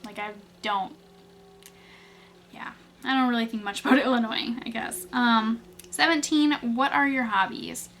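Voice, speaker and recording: A woman's voice: a little high, steady tone, a little slow, pauses often, a bit bright, slight background noise. A young woman from Wisconsin, chatting casually through tag questions, rambling with lots of fillers and restarts. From Wisconsin, USA. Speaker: beauty vlogger.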